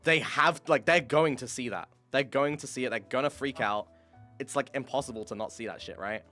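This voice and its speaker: A man's voice: medium pitch, a little fast, faint music behind. A young man streamer in casual chat, candid and self-deprecating, swearing freely, with a throat worn from screaming. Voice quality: says his voice is monotone, tries to liven it.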